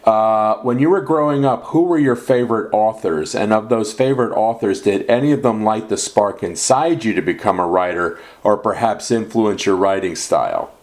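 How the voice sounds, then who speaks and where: A man hosting a podcast interview, asking set questions in a measured way with short acknowledgments. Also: on the low side, darkish tone. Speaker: podcast host.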